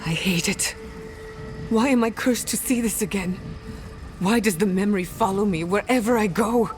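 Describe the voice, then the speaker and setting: A woman's voice: mid-range voice, moderate pace, natural voice. Woman playing a headstrong, shaken female adventurer. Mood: disbelieving, distressed. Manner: intense, blunt, searching. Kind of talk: video game character acting. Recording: music behind the voice. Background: music.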